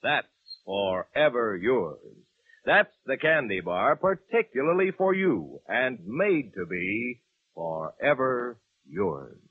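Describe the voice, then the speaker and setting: A man's voice: medium pitch, sing-song, takes it slowly, slightly rough, dry recording. A man announcing in a polished, emphatic 1940s radio sponsor style. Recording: tinny old radio recording.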